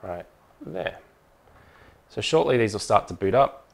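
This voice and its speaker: A man's voice: lowish voice, expressive, a little slow, creaky. A man presenting a hands-on product tutorial in an informal, methodical, step-by-step way.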